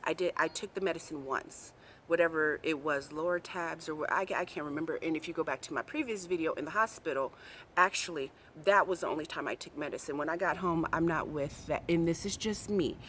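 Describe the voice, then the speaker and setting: A woman's voice: sits low, fairly quick, fairly bright tone, slight background noise. A woman in her forties talking frankly and chattily to her viewers in a casual personal vlog. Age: 40s. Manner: frank, chatty, emphatic, talks straight to viewers. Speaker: vlogger.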